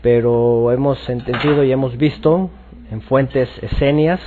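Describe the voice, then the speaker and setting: A man teaching scripture informally, explaining haltingly and often repeating himself. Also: mid-range voice, fairly even intonation, phone-quality sound, slight background noise. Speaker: religious teacher.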